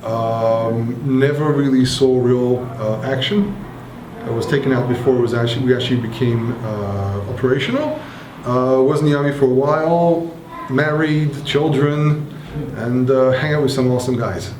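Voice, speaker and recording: A man in his thirties with an Israeli accent, explaining things in a relaxed, hesitant way with many fillers. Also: medium pitch, normal speed, noisy background. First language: Hebrew. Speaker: interviewee. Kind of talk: casual explanatory talk. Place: bomb shelter in an apartment building. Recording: echoey concrete room.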